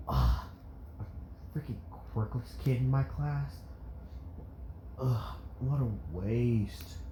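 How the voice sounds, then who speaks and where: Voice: mid-range voice, animated, extremely slow. A person voicing a scornful preschool teacher who grumbles with contempt. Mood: disgusted. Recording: roomy echo, faint background noise.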